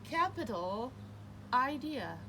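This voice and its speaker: A woman's voice: high voice, very animated, slow pace, noisy background, exaggerated voice. A woman voicing a plucky, dismissive little male pig in a children's storybook, with chirpy, emphatic replies. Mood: polite, brisk.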